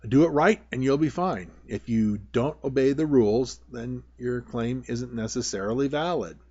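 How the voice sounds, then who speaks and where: A man's voice: medium pitch, moderate pace, a little mellow. A man who works as a prospector gives an informal, plain-spoken tutorial over slides, often circling back to repeat his points. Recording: dull, lo-fi voice-over.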